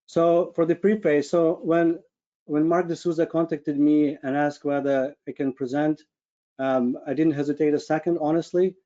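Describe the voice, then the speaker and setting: Higher-voiced Ukrainian man, muffled call audio. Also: fairly even intonation, normal speed, warm tone. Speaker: webinar speaker, medical physicist. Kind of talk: informal personal career presentation. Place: online video call with screen share.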